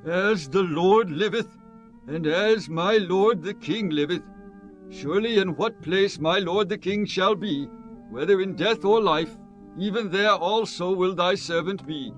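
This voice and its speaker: An actor playing a steadfast, loyal soldier in a dramatized Bible recording, solemn and fervent. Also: male voice, high-pitched, measured pace, dull audio, faint music behind. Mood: devoted, resolute. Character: steadfast loyal foreign soldier pledging himself to king. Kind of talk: dramatized Bible audio acting.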